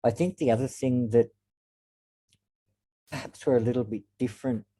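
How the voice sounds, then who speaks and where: A man giving a reflective webinar talk as guest speaker, pausing often with ums. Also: medium pitch, warm tone. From Australia. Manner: reflective, frequent ums and pauses. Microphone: video call.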